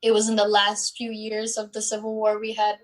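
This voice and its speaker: A woman in her twenties, born in Bosnia and Herzegovina, answering interview questions in an unhurried way with many pauses and fillers. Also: medium pitch, completely flat delivery. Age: 20s. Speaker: guest, student athlete.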